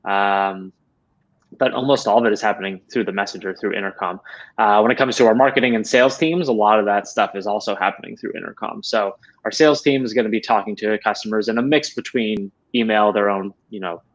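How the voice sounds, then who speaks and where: A man in his thirties with a fairly low voice answers questions conversationally as an interview guest. He is on a video call, and the sound is somewhat dull and lo-fi. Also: a little fast. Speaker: interview guest, head of support operations. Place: small home room, indoors.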